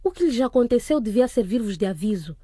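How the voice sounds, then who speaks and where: A woman's voice: on the high side, big pitch swings, fast, mellow, dry recording, studio quality. An actress playing a stern, authoritative female school principal in a radio drama, commanding and admonishing. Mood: strict warning.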